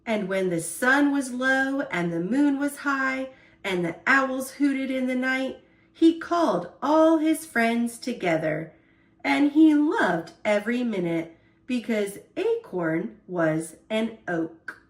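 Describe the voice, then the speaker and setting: A woman storyteller reading a children's picture book aloud in an expressive, deliberate way. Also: high voice, roomy sound.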